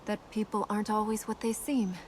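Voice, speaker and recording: A woman playing a dutiful, earnest young princess in a video game, composed and gently teasing in manner. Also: mid-range voice, flat delivery, fairly quick, close to an everyday voice. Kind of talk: naturalistic video game dialogue. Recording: game ambience noise behind voice.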